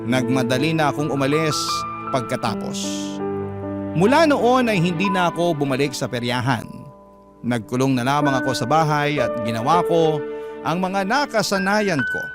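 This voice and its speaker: A man's voice: on the high side, big pitch swings, moderate pace. A man with a Filipino accent reading a scripted, dramatic love story as a radio host and narrator. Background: prominent music.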